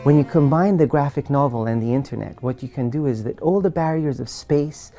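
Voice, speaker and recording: A man's voice: medium pitch, brisk, music in the background. A man from Iran, an author speaking earnestly and emphatically in a documentary interview.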